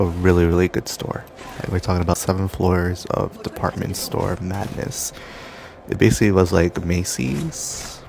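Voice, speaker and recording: A man's voice: deep voice, creaky. A young man rambling casually over gameplay, drifting through loose stories full of fillers. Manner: loose, meandering, filler-heavy storytelling. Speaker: gaming commentator. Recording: background noise.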